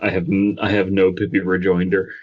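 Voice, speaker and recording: A man's voice: low voice, monotone, fairly quick, muffled recording. A man on a podcast chatting casually with a dry, wry manner. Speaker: podcast host. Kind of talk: casual group chat.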